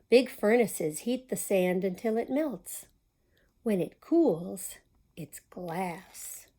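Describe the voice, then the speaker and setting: A woman's voice: on the high side, mellow. A woman reading a children's picture book aloud as a storytime host, expressively and with small asides to young listeners. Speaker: storytime reader at a community library.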